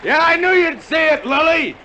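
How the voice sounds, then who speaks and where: A man's voice: extremely high pitch, lively intonation, normal speed, pushed and bright, muffled recording, a faint crowd, heavily acted. An American man playing a tough, terse, commanding and bitter armed adventurer in 1950s radio drama style. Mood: manic glee. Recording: noisy vintage 1950 radio recording.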